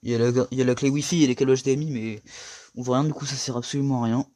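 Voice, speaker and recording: A young man giving a casual tour of his gaming setup, rambling and slangy, a little hoarse from a cold. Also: mid-range voice, fairly even intonation, rapid-fire, dark tone. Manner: rambling, slangy and self-mocking. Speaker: gaming vlogger.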